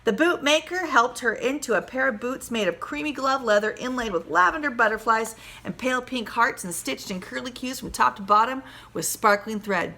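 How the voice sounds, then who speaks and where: Female storybook reader, teacherly and expressive, higher-pitched with a very bright tone. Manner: animated, teacherly, pauses to question listeners. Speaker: primary school teacher reading aloud. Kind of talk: expressive children's storybook read-aloud.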